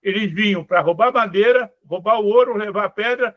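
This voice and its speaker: A man's voice: high voice, brisk, a bit bright, no echo. A man, a course participant who cuts in with emphatic, opinionated comments during a web conference. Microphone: video call. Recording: dry, dull lo-fi call audio.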